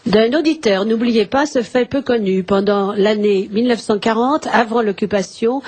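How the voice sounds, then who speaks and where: A woman's voice: fairly high pitch, normal speed, darkish tone. A woman reading announcements and listeners' messages aloud on a radio talk show.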